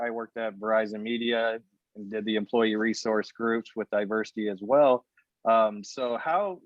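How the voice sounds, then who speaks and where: A man interviews a guest in a casual, rambling way, winding up to his questions with fillers. His voice sits at a middle pitch. The audio is dry and dull, like a lo-fi call. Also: no room sound. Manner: casual, filler-heavy, builds long questions.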